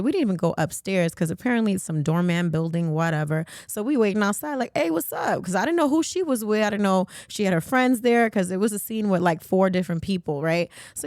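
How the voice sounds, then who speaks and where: A woman's voice: medium pitch, dry recording, studio quality. A woman hosting a podcast with casual, gossipy talk in a brash, sassy, slangy manner. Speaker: podcast host.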